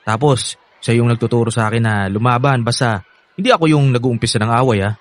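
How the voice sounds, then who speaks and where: A man's voice: medium pitch, fast, close to an everyday voice. An actor playing an affectionate, eager young man with a Filipino accent in a radio drama, chatty and earnest. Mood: earnest, candid. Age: young adult. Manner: earnest, chatty, laughs often.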